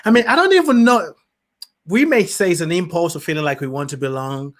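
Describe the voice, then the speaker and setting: A man's voice: fairly high pitch, expressive, a little fast. A man from Nigeria, hosting a podcast and asking long, thoughtful, searching questions. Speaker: podcast host. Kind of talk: reflective conversation.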